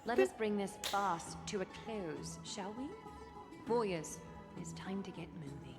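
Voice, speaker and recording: A woman voicing a poised, composed noble leader in a video game, with a measured, elegant, dry delivery. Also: mid-range voice, animated, creaky, soft background music. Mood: calm.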